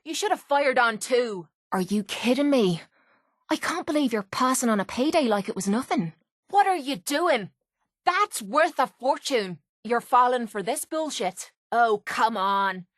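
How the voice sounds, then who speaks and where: A woman's voice: a little high, expressive, unhurried, no room sound, clean studio sound. An actress playing a brash, sarcastic, hard-drinking young woman brawler in a video game, with an Irish accent and a blunt, mocking way of talking. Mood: scornful, annoyed. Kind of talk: video game companion voice lines.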